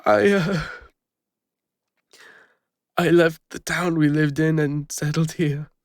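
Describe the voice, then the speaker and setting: A man with an English accent playing a gentle, bashful lone ranger and former healer in an intimate audio roleplay, speaking hesitantly. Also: a little high, dry recording, clean studio sound. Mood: vulnerable, regretful. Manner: hesitant, soft-hearted, halting. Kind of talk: intimate scripted audio roleplay addressed to the listener.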